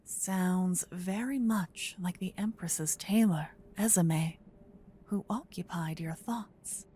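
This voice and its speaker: A woman softly narrating a scripted fantasy ASMR story, breathy and often close to a whisper on a binaural mic. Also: fairly low pitch, takes it slowly, slight background noise. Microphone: close binaural microphone.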